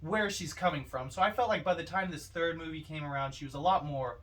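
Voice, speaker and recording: Higher-voiced man, slightly far from the mic. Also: fairly quick, fairly bright tone. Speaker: podcast co-host. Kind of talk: casual film discussion.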